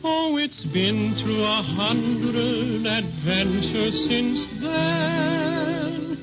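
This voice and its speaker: A plucky little teddy bear in an old radio serial, chanting rhymes very slowly in a sing-song way. Loud music plays along, and the recording is muffled. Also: mid-range voice, lively intonation. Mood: sing-song, earnest. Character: plucky little male toy teddy bear with shoe-button eyes. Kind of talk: 1930s radio musical storytelling. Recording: muffled 1930s radio transcription.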